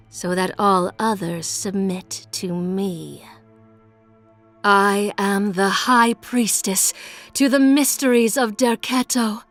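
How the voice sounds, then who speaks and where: An actress playing an exalted, haughty and seductive high priestess, delivering a theatrical, incantatory monologue for a fantasy video game. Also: female voice, a bit bright. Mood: imperious, breathy. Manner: grandiose, incantatory, sensual.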